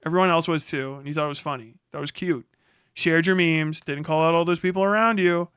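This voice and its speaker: American male livestream commentator, higher-pitched voice, dry close mic, combative and foul-mouthed. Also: steady tone, fairly quick, fairly bright tone, clean tone, no room sound. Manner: profane, blunt and combative. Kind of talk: unscripted political reaction rant on a livestream.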